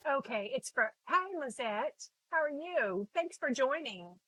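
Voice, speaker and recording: A woman's voice: high voice, lively intonation, measured pace, a bit bright, dry recording, poor audio. A middle-aged woman with a Texan accent, chatting casually with viewers while she paints on a live stream recorded on her phone. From Texas. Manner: chatty, rambling, greets viewers by name. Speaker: art instructor live-streaming. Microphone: phone camera.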